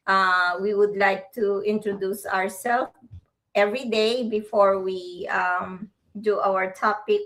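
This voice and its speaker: Slow, bright-voiced woman from the Philippines. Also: medium pitch, steady tone, poor audio. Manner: hesitant, many fillers and restarts. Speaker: co-host. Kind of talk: casual live-stream chat.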